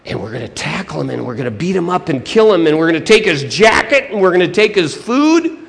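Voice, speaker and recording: A man voicing a greedy highway robber in a story, plotting in hushed, conspiratorial tones. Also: animated, a little slow, big-room sound. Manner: hushed, conspiratorial, listing plans. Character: scheming male highway robber, menacing and greedy.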